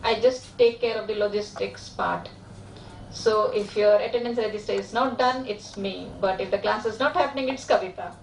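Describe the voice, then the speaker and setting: A woman, a course organiser giving brisk, joking announcements before a lecture. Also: medium pitch, fairly even intonation, a little hiss or hum. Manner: brisk, joking, hesitant restarts. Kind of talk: classroom announcements. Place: lecture hall. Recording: echoey hall from far off.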